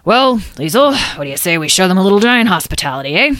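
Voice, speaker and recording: A woman plays a hearty giant man from a storybook. He is commanding, with a loud, raised voice. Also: on the low side, big pitch swings, fast talker, no room sound, clean studio sound, pitched above the performer's own voice. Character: hearty male giant, leader of his people.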